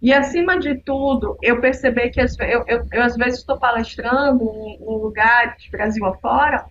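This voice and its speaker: High-voiced Belém woman in her forties. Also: moderate pace, lo-fi sound, a little hiss or hum. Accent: Paraense (Belém). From Belém, northern Brazil. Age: 40s. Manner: storytelling, animated. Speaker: guest, social entrepreneur. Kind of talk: casual podcast conversation.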